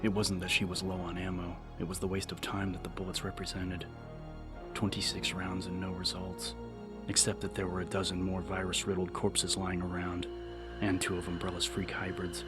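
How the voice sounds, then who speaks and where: A man narrates a dramatic audiobook in a low voice. His intonation stays very flat throughout the scripted reading. Music is clearly audible behind him. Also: normal speed.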